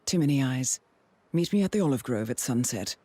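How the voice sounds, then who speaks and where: A woman's voice: extremely low pitch, expressive, brisk, slightly rough, full character voice. A woman voicing a cool, calculating female spymaster handler in a video game cutscene, composed and persuasive, at times near a whisper. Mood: conspiring. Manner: composed and persuasive, quietly resolute.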